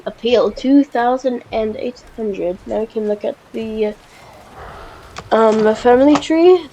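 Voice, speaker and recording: A woman with a cold and a stuffy, blocked nose talks her way through a park-building game in an easy, offhand way. Her sentences start and stop, full of fillers and self-corrections, with small excited lifts when something cute appears. Her pitch sits right in the middle. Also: slight background noise, poor audio. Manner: halting, with many fillers and restarts. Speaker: gameplay video creator. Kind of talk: casual gameplay commentary.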